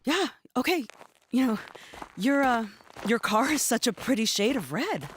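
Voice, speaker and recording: A frightened female captive in an audio drama, trying to placate. Her voice is high and lively in pitch, hesitant and pleading, with noisy ambience behind it. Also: measured pace. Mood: nervous. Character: anxious, placating young woman held captive.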